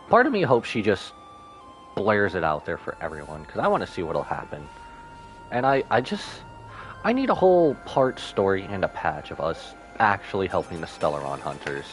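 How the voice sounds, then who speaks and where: Animated man streaming a game, voice swinging widely. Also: low-pitched, rough recording. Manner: animated, rambling, jokey asides. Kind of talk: live gameplay commentary, casual chat.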